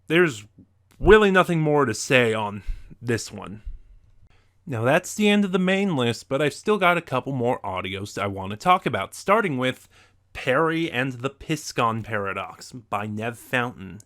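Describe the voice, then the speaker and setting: A man's voice: mid-range voice, very animated, a little slow, a bit bright. A man presenting a semi-scripted review to viewers as a video host.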